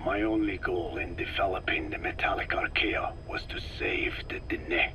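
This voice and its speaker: An actor playing a solemn, elderly Navajo scientist in a video game, speaking in a breathy whispery rasp with halting, measured phrases. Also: male voice, low-pitched, background noise. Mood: sorrowful, proud. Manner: slow, measured, halting delivery in short phrases. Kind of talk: dramatic video game dialogue.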